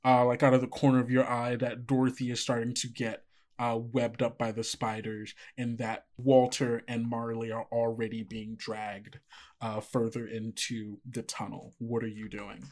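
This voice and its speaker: A man's voice: mid-range voice, completely flat delivery, moderate pace, a little mellow. A man working as game master, narrating an improvised tabletop scene in a conversational, piecemeal way.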